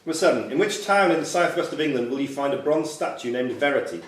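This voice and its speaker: A man's voice: medium pitch, animated, fast. A man reading quiz questions aloud as a quizmaster in a club room. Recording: echoey club room with background noise.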